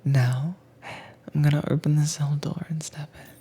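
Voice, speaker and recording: A woman playing a calm, teasingly dominant werewolf tamer in a whispered, soft-spoken roleplay audio, with a breathy voice and a coaxing, commanding manner. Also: low voice, moderate pace. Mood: gently commanding.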